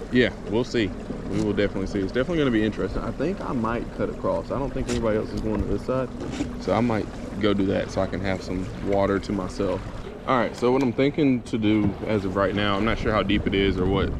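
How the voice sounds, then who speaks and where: A young man with a somewhat low voice talks through his fishing day in a loose, think-aloud way, tossing in quick asides. He is out on open water in a kayak, caught by an action camera on the boat. Steady wind and water noise sit clearly behind him. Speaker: kayak angler vlogger. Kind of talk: casual vlog narration. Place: on a kayak on an open lake outdoors. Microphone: boat-mounted action camera.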